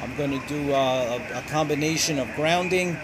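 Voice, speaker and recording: Halting man reviewing gear, noisy background. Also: a little high. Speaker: host of a ham radio channel. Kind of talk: casual product review narration.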